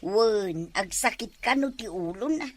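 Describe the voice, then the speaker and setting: A woman acting in a comic village drama, with an excitable, exclamatory way of talking. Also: mid-range voice, a little slow, no room sound. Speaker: comedy drama actor.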